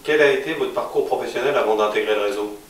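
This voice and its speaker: A man's voice: medium pitch, quick pace, far from the mic. A man conducting an interview, putting questions to his guest. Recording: echoey room, off-mic, faint noise.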